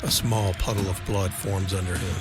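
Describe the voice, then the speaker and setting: A man with a fairly low voice works as the narrator of an audio drama. He reads the script deliberately in clear-cut phrases, with music clearly playing behind him. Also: fairly even intonation.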